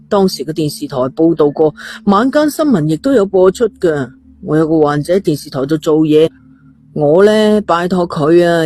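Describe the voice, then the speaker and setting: A man's voice: fairly high pitch, a little mellow, slightly rough. A man voicing a brash, foul-mouthed, exasperated doctor with a Hong Kong Cantonese accent, blunt and teasing in an audio drama.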